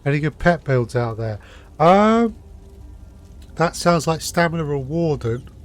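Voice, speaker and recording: A man from the UK live streaming a game, chatting casually and helpfully with hesitant pauses. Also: fairly high pitch, lively intonation. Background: game music.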